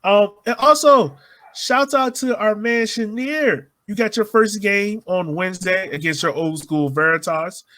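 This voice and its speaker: Male podcast host, informal and slangy, with a bright, fairly high voice for casual sports chat. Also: a little slow.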